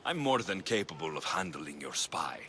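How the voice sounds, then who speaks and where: A man's voice: low-pitched, big pitch swings, moderate pace, bright, forceful tone, a little hiss or hum, heavily acted. A man playing a tough, wry ancient Greek mercenary in video game cutscenes, speaking bluntly with dry menace. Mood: firm.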